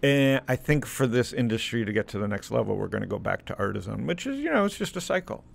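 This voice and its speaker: Man, gravelly voice, mid pitch, candid rambling podcast host. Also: sing-song, quick pace. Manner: candid, rambling, self-deprecating. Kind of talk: casual interview chat.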